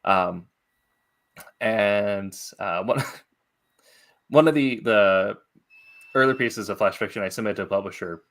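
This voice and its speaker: A man hosting a casual interview about writing, speaking in a halting, thoughtful way as a fellow writer. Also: lowish voice, fairly bright tone. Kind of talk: casual podcast interview chat.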